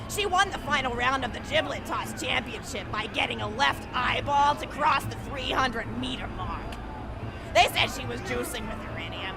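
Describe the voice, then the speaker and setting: A doting old video game granny, rambles along in a high, folksy voice that swings up and down. She is chatty and feisty, and loud music and crowd noise play behind her. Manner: rambling, folksy, swooping emphasis. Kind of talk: video game character monologue.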